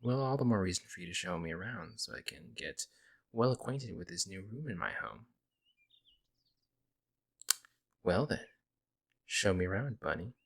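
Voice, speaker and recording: A man playing a friendly young werewolf, a lone wolf who talks casually and teases gently in an ASMR audio roleplay. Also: low voice, animated, a little fast, vocal fry, heavily acted. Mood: playful, teasing. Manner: casual, hesitant musing, gently teasing. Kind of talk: ASMR audio roleplay, intimate conversational acting.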